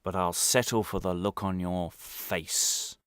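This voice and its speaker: An actor playing an embittered, vengeful former prisoner in a radio play monologue, deliberate and theatrical in his menace, swinging between hushed and agitated. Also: sits low, fairly even intonation, very noisy. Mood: taunting, brooding.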